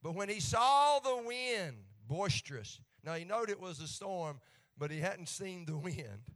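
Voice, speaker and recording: A man's voice: high-pitched, animated, unhurried, a bit bright. A man with an Appalachian accent preaching a sermon in a folksy, joking way that rises to fervent emphasis when he reads scripture.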